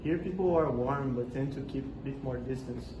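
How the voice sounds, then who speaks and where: A man's voice: mid-range voice, moderate pace. A young man answering interview questions in a scripted school role-play, reading prepared answers steadily. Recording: echoey room with noticeable noise.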